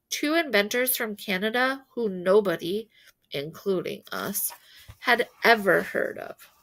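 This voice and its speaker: A woman reading a children's novel aloud as an expressive storyteller who acts out the dialogue. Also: medium pitch, gravelly. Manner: animated, acts out characters' dialogue.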